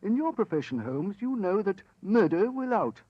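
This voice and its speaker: A dramatic psychic investigator, high and swooping in pitch. He is self-assured and portentous, in a vintage radio play with dull sound. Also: sing-song, moderate pace, no room sound. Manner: theatrical, portentous. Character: dramatic, self-assured gentleman ghost researcher. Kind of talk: 1940s radio acting. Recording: dull old radio recording.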